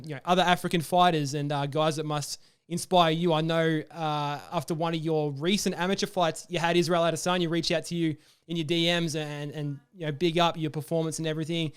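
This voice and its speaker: A man's voice: on the high side, flat delivery, fairly quick, hardly any pauses, clear, resonant tone. An Australian man hosting a podcast interview, asking long, friendly, rambling questions with plenty of fillers. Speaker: podcast host. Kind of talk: casual interview.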